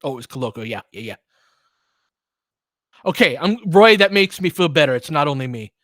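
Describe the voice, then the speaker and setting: A man's voice: on the high side, animated, fairly quick, a bit bright, no echo, clean studio sound. A man giving live basketball play-by-play with informal, slangy fan reactions mixed into casual chat. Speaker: host of a fan play-by-play stream.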